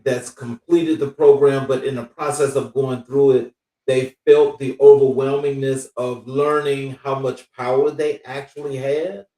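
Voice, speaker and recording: A male interview host with a mellow, dark tone asks measured questions, pausing with small hesitations. He sounds set back from the microphone in an echoing room. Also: medium pitch. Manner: measured, thoughtful, hesitant with fillers. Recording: distant mic in echoey room.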